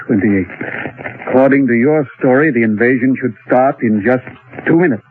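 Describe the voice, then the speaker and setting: A man's voice: mid-range voice, close to an everyday voice. Man playing a coolly sinister doctor, tinny old radio. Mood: coolly menacing. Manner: measured, smoothly reassuring, coolly menacing. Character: calm, sinister doctor, secretly an alien agent. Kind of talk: old-time radio drama acting.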